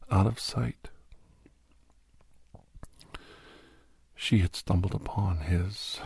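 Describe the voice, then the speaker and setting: Man playing a hushed, husky, haunted old-soldier doctor. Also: deep voice, no room sound, exaggerated voice. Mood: grave, sorrowful. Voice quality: breathy, husky. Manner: slow, halting, hushed, often slipping into whisper. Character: grave, haunted male doctor, war veteran, recounting horrors. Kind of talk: ASMR horror audio drama narration.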